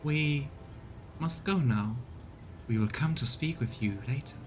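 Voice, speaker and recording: Male actor as solemn game machine hive-mind, eerie, measured, detached, mid pitch, light rumbling noise. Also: lightly acted. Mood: eerie calm. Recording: low rumbling background noise.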